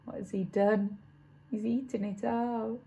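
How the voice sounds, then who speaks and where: A woman narrating a children's story expressively, with dramatic, drawn-out emphasis on key words. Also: fairly high pitch, fairly even intonation, vocal fry, mellow, slightly rough, highs cut off. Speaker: storybook reader.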